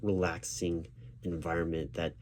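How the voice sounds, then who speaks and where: A man doing a spoken ASMR job interview roleplay as a reassuring, gently professional interviewer who speaks a little hesitantly. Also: low voice, level tone, unhurried. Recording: clear background noise of keyboard typing. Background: computer keyboard typing.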